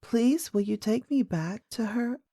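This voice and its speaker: A woman's voice: on the high side, a little slow, mellow, dry recording, studio quality. A woman voicing a curious, outspoken little princess in a storybook, eager and childlike, full of questions. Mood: delighted, eager. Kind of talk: storybook character voice.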